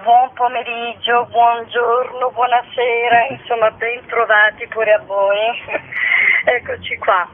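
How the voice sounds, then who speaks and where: A woman from Sicily, an actress, chatting hesitantly as a guest in a casual interview, pausing mid-phrase. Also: a little high, little pitch movement. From Sicily, Italy. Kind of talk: casual promotional radio interview. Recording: band-limited phone-like radio line.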